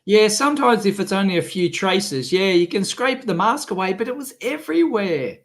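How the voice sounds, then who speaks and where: A man with a cold and a rough, sick-sounding voice, hosting a casual live stream and grumbling with exasperation. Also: high voice, animated, normal speed. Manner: grumbling, exasperated, swears freely. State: has a cold, coughing. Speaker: electronics video host.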